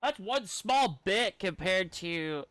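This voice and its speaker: Slow-talking, clear-voiced man commenting on gameplay. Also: high voice, expressive, a bit bright, no room sound. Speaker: video game streamer.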